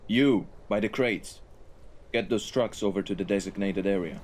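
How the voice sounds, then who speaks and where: A man playing a composed, menacing mafia right-hand man in an audio roleplay, speaking in a formal, measured way. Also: fairly low pitch, lightly acted. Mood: stern, commanding. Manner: formal, measured, deliberate wording. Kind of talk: scripted audio roleplay acting. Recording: rain and ambience behind the voice.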